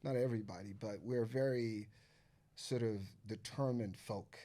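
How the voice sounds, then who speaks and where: A man's voice: on the low side, normal speed, warm tone. A Nigerian-born man with an American accent, talking as a podcast guest in a loose, profane way full of filler phrases. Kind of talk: casual conversation.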